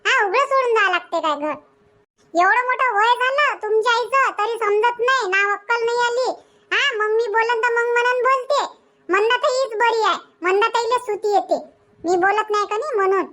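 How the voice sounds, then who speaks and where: A performer voicing an excitable, complaining young village wife and mother in a Marathi cartoon comedy, with a childlike voice and a Varhadi accent from Vidarbha. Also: very high-pitched, brisk, slight background noise. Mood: indignant, nagging. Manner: nagging, grumbling, exclamatory.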